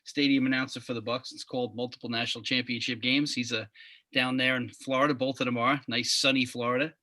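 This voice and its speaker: Bright-voiced Long Island man hosting. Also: mid-range voice, fast talker, dry recording. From Long Island, New York. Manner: relaxed, friendly, laughs often. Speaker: podcast host. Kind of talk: casual sports podcast chat.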